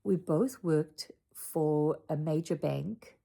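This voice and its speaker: Gravelly South African woman reminiscing. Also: low voice, slow pace, dark tone. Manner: chatty and reflective, drifting into asides. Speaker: channel host. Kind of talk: casual personal storytelling to camera. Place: home room with a Christmas tree.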